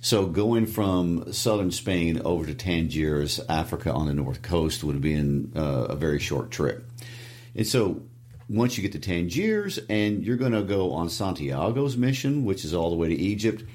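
Midwestern guy cohosting a book podcast, really low voice, easygoing. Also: normal speed. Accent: Midwestern American. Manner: relaxed explaining, with fillers. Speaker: podcast co-host. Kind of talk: casual book discussion.

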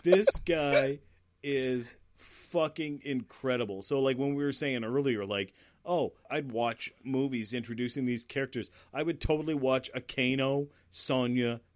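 A man in a relaxed film review chat, talking bluntly and swearing freely. His voice sits at an ordinary middle pitch, recorded dry and close with no room sound. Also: measured pace, no room sound. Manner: blunt, swears a lot, laughs often. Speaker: movie review podcast co-host. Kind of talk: casual profane chat.

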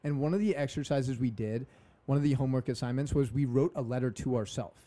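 Mellow-voiced upbeat podcast host man. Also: medium pitch, fast talker. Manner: upbeat, motivational, slangy. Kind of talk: casual conversation.

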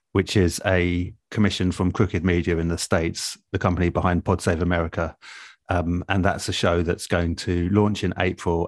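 A man speaking as an interview guest in a relaxed conversation, thoughtful and articulate, pausing as he measures out his answers. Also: deep voice, dry recording, studio quality. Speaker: guest, radio and podcast producer.

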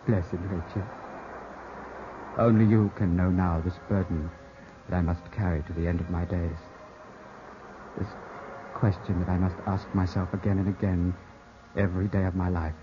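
An actor playing a suspicious, tormented young gentleman, intense and dramatic in 1950s radio drama style. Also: sits low, flat delivery, normal speed, pauses often, natural voice. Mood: tormented, brooding. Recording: muffled, hissy old 1950s radio broadcast.

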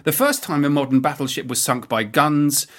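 A British man hosting a podcast, reading scripted history narration in a measured, emphatic presenter style. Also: on the high side, a bit bright. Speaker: podcast host.

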